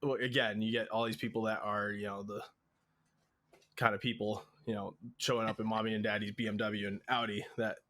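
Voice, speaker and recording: A man's voice: lowish voice, level tone, a little fast, fairly bright tone. Easygoing young man from Connecticut. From Connecticut, USA. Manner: easygoing, many "um"s and "you know"s, laughs at himself. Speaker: podcast guest, drummer. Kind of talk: casual interview answers.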